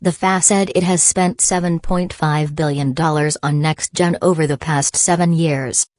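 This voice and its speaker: Low-voiced woman reading news, steady and flat-toned, dry close sound. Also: dry recording. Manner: steady, uniform read with no fillers. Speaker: news reader. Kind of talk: scripted news narration.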